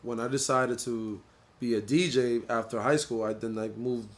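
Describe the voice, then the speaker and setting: A man with a Honduran family background, telling his story in a laid-back, low-key casual chat. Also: steady tone, moderate pace. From Honduran family, grew up in the US. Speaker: DJ and producer, guest.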